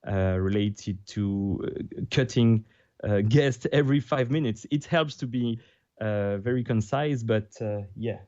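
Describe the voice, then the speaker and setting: A man with a French accent, French his first language, speaking as a guest on a video call, halting as he translates on the fly. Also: lowish voice, mellow, no room sound, dull audio. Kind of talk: casual interview over video call.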